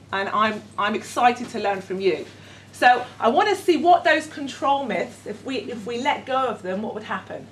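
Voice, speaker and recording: Middle-aged London woman, higher voice, halting workshop presenter, far mic in an echoey room. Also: a little hiss or hum. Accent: London English. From London, England. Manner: hesitant, many ums, warm and self-deprecating. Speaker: educator leading a workshop. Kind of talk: conference workshop talk. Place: conference room with audience.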